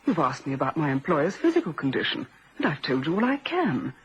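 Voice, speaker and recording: An actress playing a prim, loyal and defensive secretary in a vintage radio crime drama, speaking formally and precisely. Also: female voice, deep voice, very animated, brisk, breathy edge, exaggerated voice. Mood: indignant. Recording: dull, noisy vintage radio recording.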